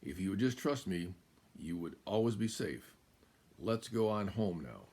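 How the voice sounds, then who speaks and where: Gravelly, kindly storybook farmer, read by an older man. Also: sits low, lively intonation, measured pace, darkish tone, heavily acted. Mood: reassuring. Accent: American. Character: kindly farmer gently chiding his silly cow.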